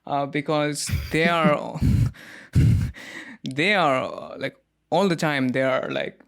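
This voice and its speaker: Male host from India, somewhat high voice; conversational, animated, filler-heavy. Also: slow, clear, resonant tone. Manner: animated when arguing, many fillers. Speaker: podcast host. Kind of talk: conversational interview.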